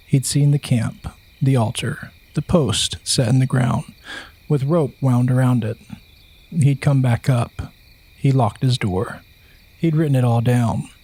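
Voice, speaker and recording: A man narrates a horror story from a script. His mid-pitched voice is heavily husky and breathy, and he keeps a measured, solemn delivery throughout. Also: dark tone.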